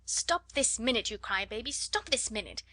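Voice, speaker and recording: A woman's voice: mid-range voice, animated, fairly quick, sharp tone. A woman voicing a spiteful, bossy schoolgirl with a mocking tone. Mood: scolding. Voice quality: mocking, scornful.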